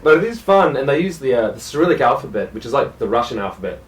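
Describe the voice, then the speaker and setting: A man's voice: medium pitch, very animated, quick pace, roomy sound, far from the mic. A young Australian man, a jazz musician, talking casually and self-deprecatingly in a radio interview, with many hesitations. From South Gippsland, Australia.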